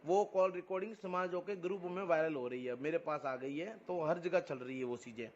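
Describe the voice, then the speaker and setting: A man's voice: a little high, a little fast, a little hiss or hum. A man with a Rajasthani accent arguing on a phone call, explaining insistently and repeating his phrases. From Rajasthan, India. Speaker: community activist on a phone call. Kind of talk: argumentative phone conversation. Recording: muffled lo-fi phone call recording.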